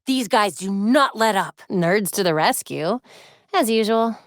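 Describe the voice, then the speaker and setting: A woman's voice: medium pitch, lively intonation, a little slow, no room sound, clean studio sound. A woman playing a young masked vigilante in a video game, tech-savvy and determined, with a wry, quippy delivery. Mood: focused, wry.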